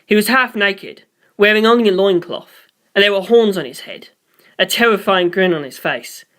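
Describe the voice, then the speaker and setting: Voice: medium pitch, normal speed, a bit bright. A narrator reading a scripted story aloud. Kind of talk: scripted narration of fan fiction.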